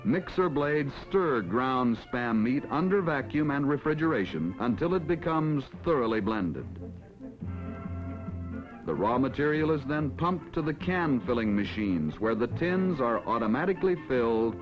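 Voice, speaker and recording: An American man reading scripted narration for a mid-century educational film in a formal, measured announcer style. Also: medium pitch, very animated. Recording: dull vintage film soundtrack with music. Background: background music.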